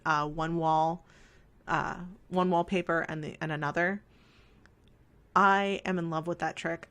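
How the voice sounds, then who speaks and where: A woman's voice: fairly low pitch, a bit bright. Scratchy-voiced woman chatting over gameplay. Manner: rambling and self-deprecating, frequent fillers. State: scratchy voice from talking. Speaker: let's play host. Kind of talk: casual chat over gameplay.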